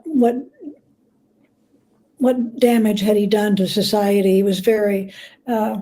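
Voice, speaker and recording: A woman talking as an interview guest, telling stories in a halting, reflective way with repeated words. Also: a little high, mellow, low-quality recording. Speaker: guest author and biographer. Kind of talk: conversational interview storytelling.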